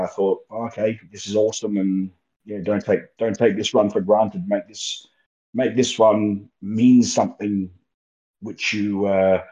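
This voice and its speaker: A young Australian man, a guest wrestler, talking in a relaxed, rambling way and laughing easily, heard over a remote call. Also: fairly low pitch. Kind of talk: casual interview chat. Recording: muffled lo-fi call audio.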